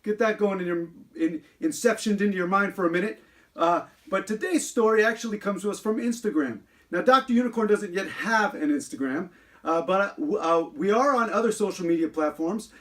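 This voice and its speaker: A man voicing a chatty unicorn host of a children's-style story time, reading aloud earnestly with halting asides. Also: high voice, a bit bright. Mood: chatty, playful. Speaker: show host.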